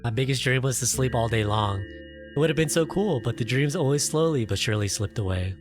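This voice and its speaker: A man's voice: mid-range voice, animated, brisk. A man doing a let's play, reading a visual novel's text aloud dramatically and breaking off for playful, casual asides. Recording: loud music behind the voice. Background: game music and sound effects.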